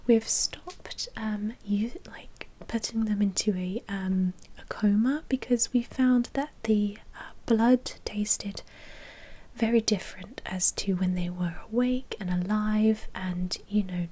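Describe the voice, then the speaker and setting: Whispering woman in a soft ASMR roleplay. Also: mid-range voice, takes it slowly, slightly rough. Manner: soft, hesitant, matter-of-fact whispering. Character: female vampire blood bank manager, polite and businesslike.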